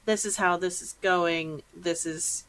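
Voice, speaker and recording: A woman's voice: lowish voice, fairly even intonation, unhurried, fairly bright tone. A woman hosting a podcast in a casual, conversational interview style, acting out little asides as she talks. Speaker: podcast host.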